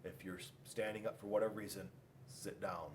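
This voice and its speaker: A man reading a children's storybook aloud in an animated style, with dramatic emphasis and drawn-out words. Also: fairly low pitch, slight background noise. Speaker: police school resource officer reading to children.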